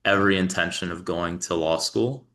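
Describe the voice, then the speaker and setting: Deep-voiced young man, bright tone. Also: moderate pace. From New York, United States. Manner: relaxed, rambling with many fillers and restarts. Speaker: guest, company founder. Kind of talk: casual interview conversation.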